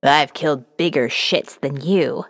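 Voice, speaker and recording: A woman voicing a crude, menacing male constable who spits gruff insults in an audio novel. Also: deep voice, measured pace, big-room sound, pitched below the performer's own voice. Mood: gruff, hostile.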